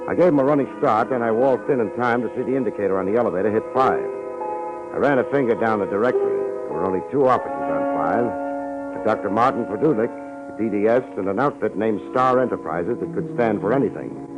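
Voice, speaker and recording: Casting: man as hardboiled gumshoe, low voice, laconic and wry, old-time radio crime show with muffled sound, music underneath. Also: expressive, fast talker, lightly acted. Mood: wry storytelling. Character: cool, wisecracking hardboiled male private detective narrator. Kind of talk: 1950s radio detective drama, narration and dialogue.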